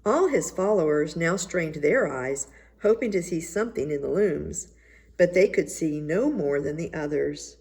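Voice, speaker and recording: A woman reading a children's fairy tale aloud in an expressive storytelling style. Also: low voice, unhurried, husky. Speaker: storybook reader.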